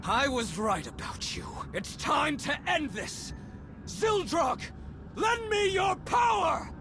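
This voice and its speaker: A man's voice: very high voice, very animated, takes it slowly, shouting, over music, exaggerated voice. A man playing a bitter, fanatical villain in a dramatic video game scene. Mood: fanatical fury.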